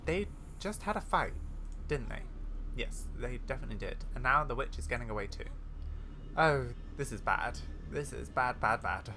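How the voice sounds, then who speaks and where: Woman reading as an urgent, animated young rider. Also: very deep voice, moderate pace, exaggerated voice. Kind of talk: reading video game dialogue aloud. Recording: home recording with faint noise.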